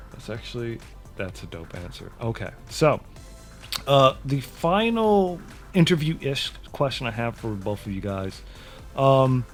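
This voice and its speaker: A man hosting a casual interview, talking in an excitable, slangy way. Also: medium pitch. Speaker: host.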